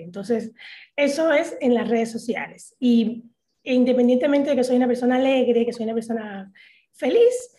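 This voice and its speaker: Cuban woman, 30s, high-pitched, chatty and halting, interview guest on a video call. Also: dark tone. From Cuba. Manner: chatty, halting with many fillers and restarts. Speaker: interview guest, social media comedian. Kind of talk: casual interview talk.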